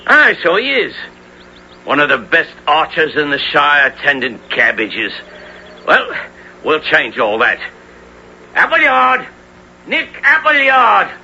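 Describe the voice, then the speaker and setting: Stern middle-aged medieval bailiff, brusque, piercing voice, radio drama, dull old recording. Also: male voice, fairly high pitch, huge pitch swings, slow pace, gravelly, pauses often, bright, forceful tone, exaggerated voice. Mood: brisk, commanding. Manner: brusque, commanding. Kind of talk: 1970s radio drama acting. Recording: dull, faintly noisy vintage radio recording.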